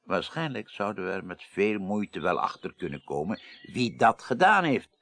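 A creaky, deliberate presiding investigator. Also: male voice, fairly low pitch, very animated, fairly bright tone, no room sound, full character voice. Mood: deliberate, analytical. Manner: formal, deliberate, reasoning aloud. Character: deliberate, authoritative male president of an inquiry. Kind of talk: Dutch radio play acting. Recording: faintly noisy 1980s radio drama.

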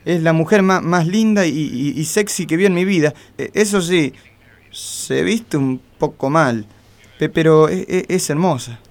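Actor as a stuttering, insecure Argentine man. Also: fairly high pitch. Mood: anxious, earnest. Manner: heavy nervous stutter, halting and repetitive. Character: insecure, lovelorn, short homely man, a detective's client. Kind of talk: comic radio play acting.

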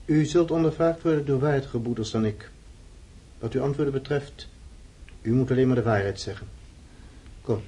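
An actor playing a stern, ceremonious young count and freemason sponsor in a radio drama, solemn and formal. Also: male voice, medium pitch, normal speed, long pauses, mellow, slightly rough, lightly acted. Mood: grave.

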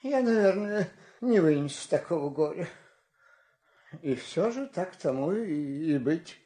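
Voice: medium pitch, animated, a little slow, mellow, echoey room, natural voice. An actor playing an anguished king and doting father in a fairy-tale audio play, speaking with emotional, pleading drama. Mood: anguished command.

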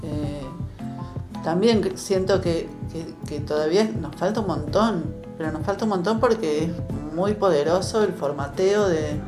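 A woman's voice: lowish voice, a little slow. A woman with an Argentine accent reflecting aloud in conversation, searching for words and posing rhetorical questions. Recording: roomy echo, music behind.

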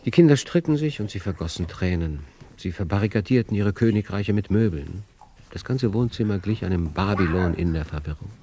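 A man with a deep voice narrates a literary radio play. He tells the story as scripted prose. There is a faint hiss of noise on the old recording. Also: animated, fairly quick.

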